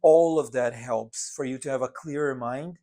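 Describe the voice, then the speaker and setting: A man's voice: mid-range voice, moderate pace, mellow. Guy cohosting a chill podcast, medium voice, earnest and thoughtful. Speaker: podcast co-host. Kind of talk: casual chat. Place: apartment living room.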